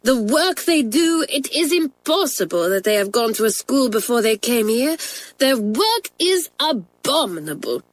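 A woman voicing an excitable, indignant French schoolmistress in an audiobook, with a French accent and dramatic, drawn-out delivery. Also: fairly high pitch, no echo, voice pushed up. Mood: outraged.

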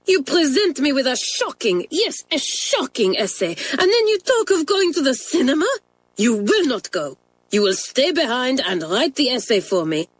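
A woman's voice: on the high side, expressive, moderate pace, few pauses, voice pushed up. A woman playing a furious schoolmistress. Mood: raging. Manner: shouting, imperious, indignant. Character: fiery French schoolmistress, middle-aged, quick-tempered. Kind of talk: audiobook character voice.

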